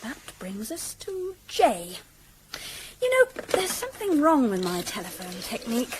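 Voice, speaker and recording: Young female detective, radio crime play, lively swooping intonation, brisk teasing manner, noisy recording. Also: fairly high pitch. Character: sharp, teasing, plucky young female amateur sleuth. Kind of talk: radio crime drama acting.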